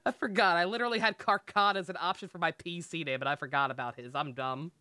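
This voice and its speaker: Bright-voiced, rambling game streamer. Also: mid-range voice, quick pace. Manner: rambling, profane, exclamatory. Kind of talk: casual live gameplay commentary.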